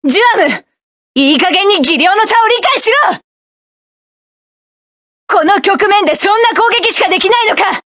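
A proud, fierce mecha pilot woman from an anime-style game, snapping out orders and cool, haughty taunts. Her voice sits very high and bright for a woman. It is recorded completely dry, with no room sound at all. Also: animated, normal speed, pauses often, no room sound. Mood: cold contempt. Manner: sharp commanding battle shouts, cool haughty taunts. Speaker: voice actress for a game character. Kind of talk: game battle voice lines.

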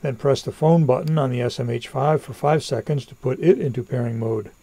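A man's voice: mid-range voice, brisk, dark tone, no echo. A man presenting a scripted product review as a voice-over narration.

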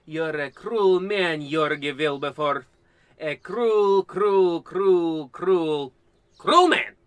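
A man's voice: fairly high pitch, very slow, clear, resonant tone, no echo. A man playing a muddled, theatrical old woman, a rambling former teacher, in a parody of old radio drama. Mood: vengeful, theatrical.

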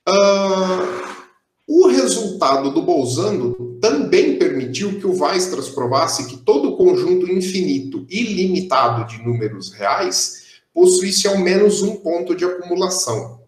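A young man gives a math lecture over a video call. He explains steadily and fills his pauses with "é". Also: high-pitched, roomy sound, faint music behind. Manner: explanatory, steady, with frequent "é" fillers. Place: indoor room, on a video call.

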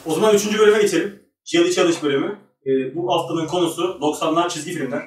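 A man's voice: fairly high pitch, fast, far from the mic. A young man, hosting a chat show in a casual way. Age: young adult. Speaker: host. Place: small indoor studio set. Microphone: table microphone at a distance. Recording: roomy, voice away from the mic.

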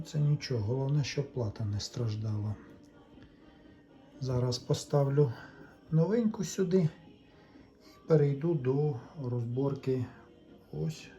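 A man giving a casual, step-by-step repair demonstration, thinking aloud in an unhurried, explanatory way. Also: mid-range voice, dark tone. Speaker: electronics repair hobbyist narrating.

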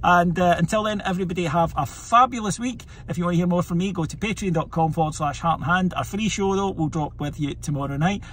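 A man's voice: sits high, fast, hardly any pauses, a little hiss or hum. A man in his thirties hosting a football podcast, giving a chatty post-match reaction from inside a parked car, filmed on a phone held close. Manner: chatty, animated, plain-spoken. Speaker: podcast host. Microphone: phone camera held close in the car.

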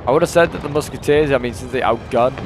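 A man commentating a game battle replay in a casual, rambling way, his voice a bit hoarse from a cold. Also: mid-range voice, fast talker, noisy background. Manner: rambling, with frequent fillers and restarts. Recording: loud game music underneath. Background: loud game battle music and gunfire.

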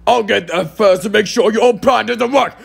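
A man voicing a boastful, scornful male steam engine in a cartoon audition, with a pompous, theatrical, sneering delivery and a raspy, gravelly voice. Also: high-pitched, moderate pace, full character voice. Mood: indignant.

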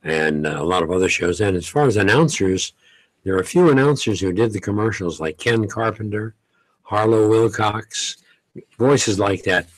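An older man, a longtime radio host, answering questions in a relaxed, unhurried way in a radio studio. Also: on the low side, low-quality recording. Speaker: veteran radio host guest. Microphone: studio mic with headphones.

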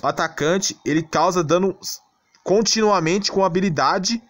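A man's voice: high voice, normal speed, a bit bright. A Brazilian man giving a casual gameplay tutorial commentary in a blunt, exasperated way, often checking that the listener follows. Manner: blunt, exasperated, repeatedly tagging phrases with check-in questions. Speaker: gaming channel host. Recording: background noise, clicking sounds.

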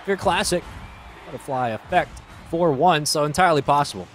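A man giving casual color commentary on a high school esports match. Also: moderate pace, a little hiss or hum. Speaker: esports co-caster.

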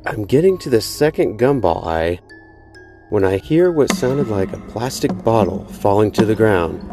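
Male horror podcast narrator, deep voice, suspenseful first-person delivery over music. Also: sing-song, normal speed. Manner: dramatic, suspenseful storytelling. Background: music behind the voice.

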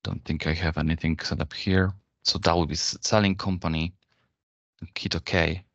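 A man explaining software step by step in a webinar demo, speaking in a halting, unscripted way. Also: low-pitched, fairly even intonation, no room sound. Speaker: software presenter. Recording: dull, lo-fi online webinar audio.

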